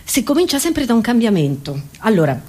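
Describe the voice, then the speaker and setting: This is a woman with a fairly high voice performing comedy. She talks conversationally but theatrically, like a stage monologuist. She keeps breaking off her own sentences and throwing out rhetorical questions in a satirical routine. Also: very animated, a little fast, darkish tone, slightly rough. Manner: theatrical, self-interrupting, asking rhetorical questions.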